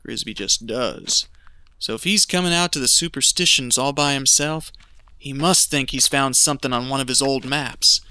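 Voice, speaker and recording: Man acting sharp-voiced, scheming gold prospector. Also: medium pitch, moderate pace, clean tone, dry recording. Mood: greedy, sure. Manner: folksy, ominous drawl. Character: cold, scheming male gold prospector in Arizona mountains. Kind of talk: audio drama acting.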